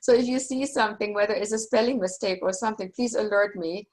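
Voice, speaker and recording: A middle-aged woman with a German accent, a native German speaker, giving a relaxed, reassuring orientation for her online course over a video call. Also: medium pitch, quick pace. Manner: relaxed, reassuring, chatty with small restarts. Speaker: university course instructor.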